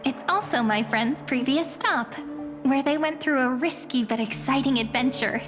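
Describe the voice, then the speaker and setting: Game role: gentle young female storyteller voiced by an actress, fairly high, measured, with clear background music. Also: close to an everyday voice. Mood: earnest, measured. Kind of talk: video game story dialogue.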